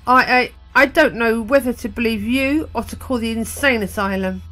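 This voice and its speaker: A woman's voice: a little high, normal speed, a bit bright, faint music behind, natural voice. A woman voicing a frightened young woman who suddenly grows old, speaking in an anxious, faltering way.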